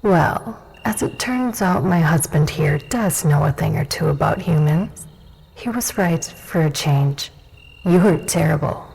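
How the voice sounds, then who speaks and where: Low, creaky, teasing elf warrior woman. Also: lively intonation, a little slow, a little mellow, a little hiss or hum, full character voice, low-quality recording. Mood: amused taunting. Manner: cool, taunting, commanding. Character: tall, confident, teasing female dusk elf warrior.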